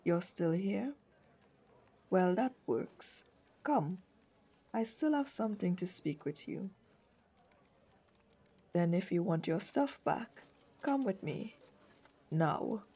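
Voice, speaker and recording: A woman's voice: lowish voice, lively intonation, slow pace, pauses often, mellow, breathy edge, full character voice. A woman playing a cold, dominant female CEO with a stern, condescending and flirtatious manner in an ASMR roleplay. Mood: curt, commanding.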